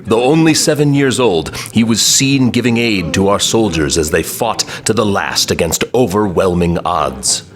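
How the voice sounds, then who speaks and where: Deep-voiced, grandiose lord general played by a man. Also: animated, normal speed, hardly any pauses, lightly acted. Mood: grave, rousing. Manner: grandiose, oratorical. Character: pompous male lord general addressing a crowd. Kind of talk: formal public speech in audio drama.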